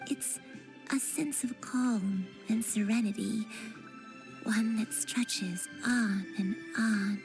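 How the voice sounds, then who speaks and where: Serene, slow-talking old granny. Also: female voice, a little high, creaky, low-quality recording. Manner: contemplative, lyrical phrasing. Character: serene, poetic elderly granny savouring tea. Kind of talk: scripted video game voice acting.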